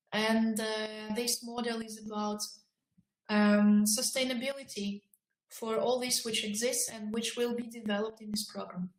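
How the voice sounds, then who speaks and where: A woman explaining as a project colleague on an online call, deliberate and pausing between short phrases, in an echoey room. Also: a little high, slight background noise. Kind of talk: explanatory remarks in a conference call.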